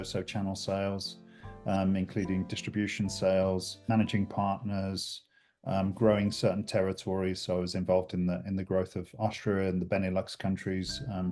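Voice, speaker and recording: A middle-aged British man talks through a video call with a very low, mellow voice. He speaks slowly and carefully, pausing and restarting often. Faint music plays underneath. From United Kingdom. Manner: reflective, many restarts and fillers. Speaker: guest. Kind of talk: conversational interview.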